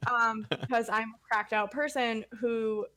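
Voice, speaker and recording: A woman's voice: on the high side, fairly even intonation, measured pace, bright, forceful tone. A woman guest on a streaming talk show, chatting casually and rambling with many fillers. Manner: rambling, many likes and ums. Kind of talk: casual podcast chat.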